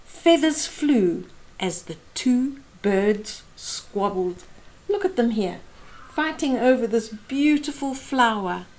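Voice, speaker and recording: A middle-aged woman reads a picture book aloud for small children. Her fairly high voice swings up and down as she goes slowly, pausing to explain the pictures. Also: expressive, darkish tone, rough recording. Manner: deliberate, explaining pictures to young listeners. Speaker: children's storytime host. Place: small indoor room.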